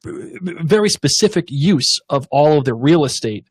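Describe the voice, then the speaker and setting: A man's voice: fairly high pitch, expressive, normal speed, breathy edge, dry recording. A man co-hosting a TV recap podcast, enthusiastic and rambling, laughing easily. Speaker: podcast co-host. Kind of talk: casual TV recap chat.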